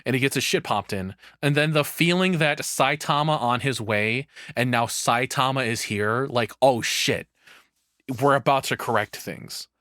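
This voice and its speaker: Male streamer, bright forceful voice, dry close sound, rambling, sweary, casual reaction talk. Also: mid-range voice, dry recording. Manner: rambling, sweary, halting mid-thought.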